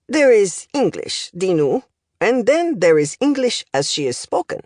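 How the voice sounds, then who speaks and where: A woman's voice: medium pitch, sing-song, unhurried, a little mellow, dry recording, clean studio sound, pitched above the performer's own voice. A woman voicing an older male professor, opinionated and instructive, in an audiobook. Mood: wry, correcting. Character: older male Romanian language professor, opinionated, smoking, encouraging.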